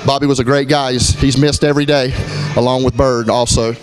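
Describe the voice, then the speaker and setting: A middle-aged man from Florida, a gym owner giving a casual walkthrough of his gym, talking in a relaxed way with lots of fillers and restarts. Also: medium pitch, fairly quick, over music, poor audio. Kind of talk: walkthrough tour, casual narration. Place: gym indoors, moving through rooms.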